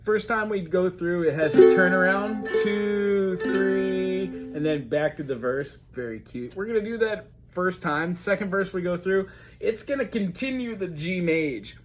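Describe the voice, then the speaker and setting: Man, ukulele teacher, higher voice, chatty and friendly, loud strumming under him. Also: measured pace, dull audio, over music. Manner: friendly, chatty, explains chords while playing and singing along. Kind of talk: casual music lesson with singing. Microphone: recorded alongside his own ukulele playing.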